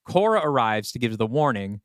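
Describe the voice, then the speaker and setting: A man's voice: mid-range voice, big pitch swings, a little fast, fairly bright tone, clear, resonant tone, no echo, studio quality. A man co-hosting a podcast, casually brainstorming story ideas in a tentative, thinking-aloud way. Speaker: podcast co-host and writer. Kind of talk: casual creative brainstorming talk.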